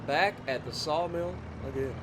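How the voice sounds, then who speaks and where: Congested, nasal man walking through a game in an easygoing way; a bit high-pitched, with noise in the background. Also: normal speed. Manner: easygoing, thinking aloud with fillers. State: stuffy nose, nasal. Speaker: gaming YouTuber. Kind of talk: casual let's-play commentary.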